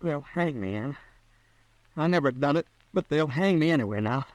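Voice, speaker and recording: An actor playing a wounded, defiant and bitter cowboy in an old-time radio western, sullen and folksy. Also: male voice, on the high side, lively intonation, moderate pace, darkish tone, no room sound, close to an everyday voice, rough recording. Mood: bitter, resigned.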